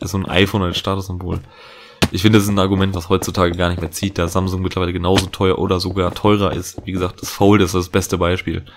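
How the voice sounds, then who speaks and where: Low-voiced chatty German gaming man. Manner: chatty, rambling, many fillers and restarts. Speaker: let's play host. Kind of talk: casual gaming commentary.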